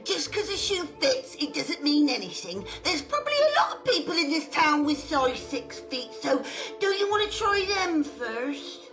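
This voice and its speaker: A performer playing a loud, protesting ugly stepsister in a comic pantomime. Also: female voice, high voice, sing-song, normal speed, dull audio, faint music behind. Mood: indignant.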